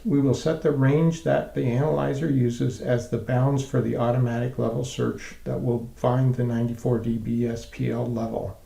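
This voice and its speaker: A man narrating a scripted software tutorial in a measured, methodical, step-by-step way. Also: medium pitch, gravelly, roomy sound, low-quality recording.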